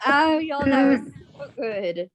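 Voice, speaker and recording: A woman's voice: sits high, animated, slow pace, slight background noise. A woman chatting casually and effusively with a group on a video call.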